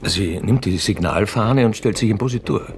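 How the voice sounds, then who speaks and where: A man with a husky voice, reading scripted stage directions as the narrator of a radio play. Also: on the low side, normal speed, over music.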